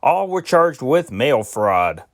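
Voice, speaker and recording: A man reading old newspaper dispatches aloud as an amateur news reader. His reading is measured, with occasional slips and self-corrections. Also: mid-range voice, very animated, fairly bright tone.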